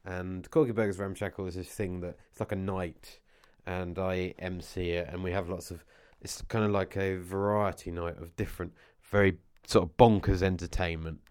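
Male vlogger, low voice, dry close sound, slightly husky from a cold, rambling casual chat. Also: flat delivery, moderate pace, few pauses, a little mellow, no echo. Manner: meandering, with many restarts and asides. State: has a cold, losing his voice.

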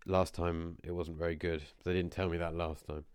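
A man talks to the camera in a very low voice, with a cold wearing it down. The sound is dry and close. He rambles loosely and keeps losing his thread. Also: level tone, moderate pace, a little mellow, clean tone, no echo. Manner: meandering, with many restarts and asides. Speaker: vlogger. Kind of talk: casual rambling chat to camera.

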